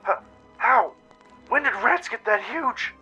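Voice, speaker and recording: A man plays a young pony mare in a radio drama, scared and bewildered. The voice is pushed up high and bright, asking out in alarm, with music underneath. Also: lively intonation, takes it slowly, slightly rough, exaggerated voice. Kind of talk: radio play character acting.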